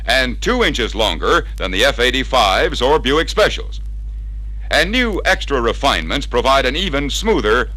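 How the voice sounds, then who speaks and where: A man's voice: mid-range voice, pushed and bright, low-quality recording. An American man narrating a 1960s sales training record in an emphatic, persuasive, scripted style, on a vintage recording. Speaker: narrator of a car dealer sales training film.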